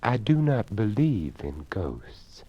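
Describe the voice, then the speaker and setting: A man voicing an earnest, elderly deaf lady in a story, with a hushed, measured, genteel delivery. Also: lowish voice, big pitch swings, breathy edge, dull audio, full character voice. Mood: hushed, sober.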